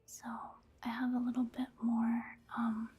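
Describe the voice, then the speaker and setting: A woman giving soft-spoken ASMR commentary over gameplay in a breathy, hushed whisper, unhurried. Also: a little high, monotone. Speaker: ASMR video creator narrating her gameplay.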